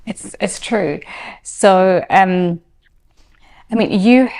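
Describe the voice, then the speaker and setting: A woman's voice: mid-range voice, drawn-out words, darkish tone. A woman hosting an interview, asking searching questions with hesitant, restarting phrasing. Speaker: podcast host.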